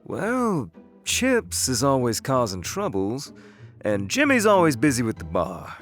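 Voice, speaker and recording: A man voicing an easygoing young casino dealer in an animated series, casual and offhand. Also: mid-range voice, measured pace, soft background music. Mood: relaxed. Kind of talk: animated web series voice acting.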